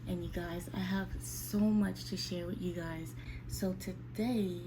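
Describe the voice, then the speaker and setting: A woman's voice: lowish voice, steady tone, unhurried, noisy background. A woman of about thirty chatting casually and candidly to her viewers in a confiding, conversational way, addressing them directly. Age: 29, almost 30. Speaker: vlogger speaking to her viewers. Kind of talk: casual, candid sit-down chat.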